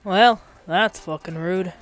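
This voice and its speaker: A woman's voice: lowish voice, slow, faint music behind. Casting: indignant talking skunk, male, played by a woman, thick Boston accent, brash, combative, swears freely, audio story.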